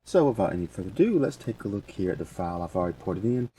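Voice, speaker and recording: A man presenting a casual software tutorial, talking through each step as he goes in informal, folksy phrasing. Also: low-pitched, sing-song, racing. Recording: distant mic with faint background noise.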